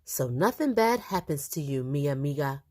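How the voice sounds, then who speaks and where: A woman's voice: low-pitched, big pitch swings, normal speed, darkish tone. A woman voicing an earnest, sweet little boy in a children's storybook read-aloud. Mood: soft, sheepish. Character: eager, sweet little boy who loves his pet turkey.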